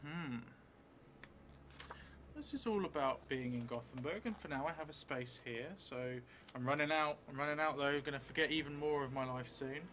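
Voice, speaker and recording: A middle-aged man thinking aloud as he improvises a solo tabletop game, hesitant and musing with long pauses. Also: on the high side. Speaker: solo role-player and streamer. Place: indoor theatre room, seated at a table. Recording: clear steady background noise.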